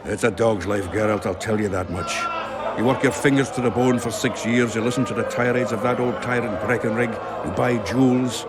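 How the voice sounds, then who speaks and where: An actor playing a plain-spoken dwarf merchant in a video game, earthy, wry and grumbling. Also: male voice, mid-range voice, fairly even intonation, a little fast, close to an everyday voice. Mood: grumbling, resigned. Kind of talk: video game cutscene acting. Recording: loud music behind the voice.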